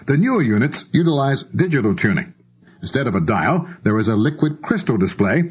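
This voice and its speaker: A male narrator delivers a scripted instructional lesson. His intonation is lively and varied over a mid-range pitch, explaining each point to the listener. The sound is a slightly dull, lo-fi cassette transfer. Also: slightly rough.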